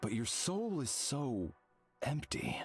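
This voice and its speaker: A man's voice: medium pitch, huge pitch swings, slow pace, faint music behind, exaggerated voice. A man voicing a lofty golden cosmic hero in a video game, solemn and preachy. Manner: solemn, lofty, dramatic swings.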